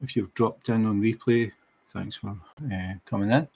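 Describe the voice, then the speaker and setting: A man's voice: lowish voice, vocal fry. A middle-aged man from Scotland with a Scottish accent, chatting casually with viewers on a crafting livestream. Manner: relaxed, unhurried, chatty with viewers. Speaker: diamond painting livestream host.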